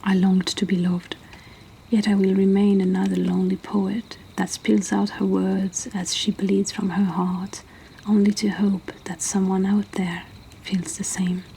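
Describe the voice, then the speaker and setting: Whispering female host for a fireside poetry reading, slow, mellow tone, faint background ambience. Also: medium pitch, flat delivery, gravelly, slight background noise, rough recording. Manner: soft whispering, slow and hushed. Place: indoors by a fire.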